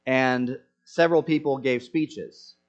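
Male pastor, mid-pitched, earnest sermon delivery with rhetorical questions, slightly muffled lo-fi recording. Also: fairly even intonation, slow pace. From Senegal.

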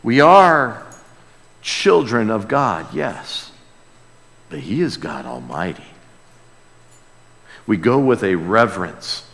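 A man's voice: on the low side, sing-song, takes it slowly, creaky, long pauses, fairly bright tone. A man preaching a sermon, speaking emphatically with repeated key words and rhetorical questions. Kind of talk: expository sermon. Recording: hall with long reverb.

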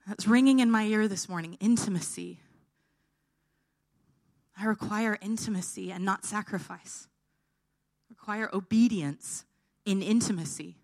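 A woman's voice: mid-range voice, measured pace, pauses often, darkish tone. A woman preaching an informal sermon, her voice tired and held back, often checking in with her listeners.

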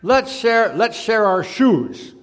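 A man's voice: high voice, lively intonation, slow, pitched above the performer's own voice. A man voicing a feisty, stubborn little kindergarten girl from a storybook with exaggerated emphasis. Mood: eager. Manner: exaggerated, emphatic back-talk. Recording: reverberant large hall.

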